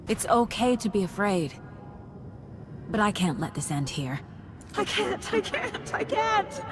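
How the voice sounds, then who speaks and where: A woman's voice: high-pitched, background noise. A woman acting in a video game drama as an anguished but determined character trapped in her own mind. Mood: afraid, desperate.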